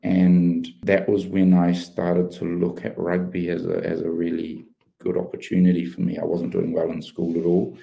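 A man's voice: low-pitched, monotone, normal speed, gravelly, dark tone. A man, a former rugby player, telling his life story earnestly in an interview. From New Zealand. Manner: earnest, open, many restarts and fillers. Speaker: podcast guest, former pro rugby player.

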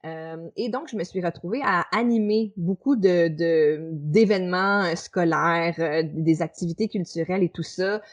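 A woman in her thirties speaks with a Québécois accent, relaxed and chatty. She tells her story with frequent hesitations and small laughs, as a podcast guest recounting an old memory. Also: lowish voice, normal speed, few pauses. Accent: Québécois French. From Quebec. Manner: hesitant, frequent "euh" fillers, laughs between phrases. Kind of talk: casual storytelling.

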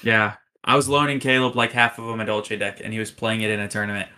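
Low-voiced young man, bantering podcast host. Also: flat delivery, fast talker, pushed and bright, clean tone. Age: mid-20s. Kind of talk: casual chat.

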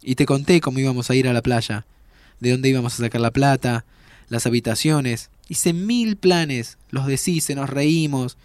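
A man's voice: medium pitch, normal speed, darkish tone, no echo, lightly acted. Actor as young argentine guy, time traveller, blunt n teasing but caring, really dry recording. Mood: warm reminiscing. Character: playful, frank, caring 25-year-old male time traveller. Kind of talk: naturalistic audio drama dialogue.

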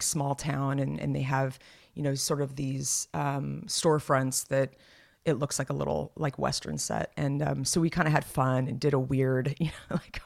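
A podcast co-host in her thirties talks in a loose, rambling way, stopping and restarting her sentences often. Her voice is slightly raspy and hoarse. She sounds relaxed and conversational. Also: low-pitched. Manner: chatty and rambling, many fillers and restarts. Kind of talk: casual chat.

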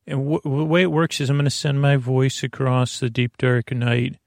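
A man with a creaky voice hosting a sleep podcast, lulling and soothing as he meanders haltingly through a rambling bedtime monologue. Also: medium pitch, level tone, no room sound, clean studio sound. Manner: meandering, halting, repeats and drifts off topic. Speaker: podcast host.

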